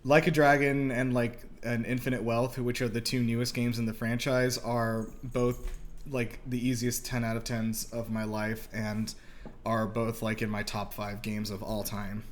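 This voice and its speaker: A man's voice: medium pitch, level tone, a little slow, a little hiss or hum. Casual man chatting as host. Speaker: host of a movie reaction show. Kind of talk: casual, conversational explainer and reaction chat.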